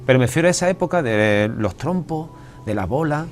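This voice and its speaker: A man's voice: a little high, animated, fairly quick. An Andalusian man, a writer being interviewed, talking casually with frequent restarts and repeated words. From Andalusia, Spain. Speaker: guest writer. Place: television studio.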